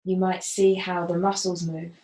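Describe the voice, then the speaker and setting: A woman gently guiding a body scan as a yoga facilitator, unhurried and leaving pauses. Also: fairly low pitch, level tone. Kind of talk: guided body scan meditation instruction. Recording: roomy, echoing voice.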